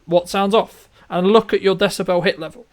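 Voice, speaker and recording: High-voiced man hosting a casual chat. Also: steady tone, a little fast. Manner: rambling, repetitive, checks in with "right?". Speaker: interview host, filmmaker.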